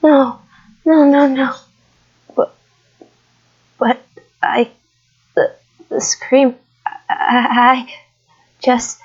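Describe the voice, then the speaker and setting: Woman as an anxious, high-voiced teenage boy. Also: fairly even intonation, husky, lo-fi sound, faint music behind, heavily acted. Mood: panicked. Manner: fretful, halting. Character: anxious, sensitive adolescent boy.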